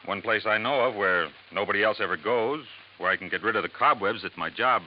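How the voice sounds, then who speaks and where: Brief: male actor as an indignant murder suspect, lowish voice, muffled hissy old radio sound. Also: brisk, close to an everyday voice. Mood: evasive. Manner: indignant, protesting. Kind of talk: 1950s radio drama acting. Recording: dull lo-fi radio broadcast with hiss.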